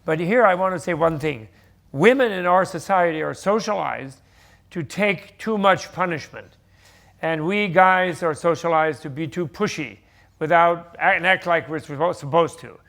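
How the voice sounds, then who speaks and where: A man's voice: fairly high pitch, moderate pace, gravelly, a bit bright. An older man giving a conversational teaching talk on stage, rambling animatedly through explanations and correcting himself mid-sentence. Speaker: panel speaker on Buddhist teachings. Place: live event stage with audience.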